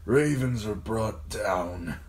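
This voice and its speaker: A man voicing a frail, dying old lord and father in an audiobook, tender and pained, murmuring haltingly in a thin, wispy voice. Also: fairly low pitch, expressive, pitched above the performer's own voice. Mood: pained, fearful. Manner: slow, halting, murmuring speech.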